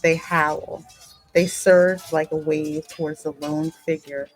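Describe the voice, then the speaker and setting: Female game host and narrator: deep register, measured pace, dramatic storytelling delivery with conversational asides. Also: level tone, soft background music, poor audio. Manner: deliberate, dramatic reading. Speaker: gaming channel host and narrator.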